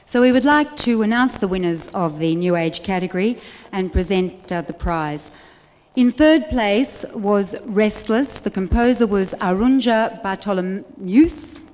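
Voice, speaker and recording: A woman's voice: mid-range voice, animated, measured pace, a little mellow. A woman presenting a song contest, reading out winners and prompting applause. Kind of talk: stage announcement. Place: arts centre stage. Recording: long hall reverb with faint crowd.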